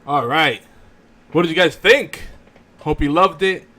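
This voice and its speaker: A man's voice: on the high side, a little slow, sharp tone. A man hosting a live show with casual, off-the-cuff banter. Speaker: host.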